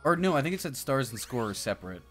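Male streamer, lively swinging pitch, jokey and profane running commentary over game music. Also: fast talker. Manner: jokey, profane, thinks aloud. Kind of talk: casual gaming commentary, reading game text aloud. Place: indoor streaming setup. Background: video game music.

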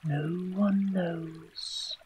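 A talking wolf's head button delivers grim warnings in a hushed, level whisper, as a storybook reader voices it. The words come slowly and steadily, close to the reader's own plain voice. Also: mid-range voice, fairly even intonation, a little hiss or hum. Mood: solemn. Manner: whispered, solemn.

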